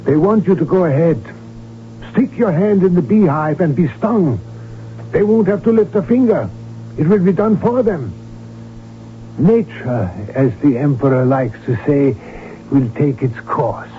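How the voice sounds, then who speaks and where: A man's voice: on the high side, measured pace, slight background noise, close to an everyday voice. An actor playing an outspoken, agitated royal physician who tells his story with urgency and emphasis in a 1970s radio drama. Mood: urgent, pleading. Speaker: doctor character who also narrates. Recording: tinny narrowband old radio recording, music behind.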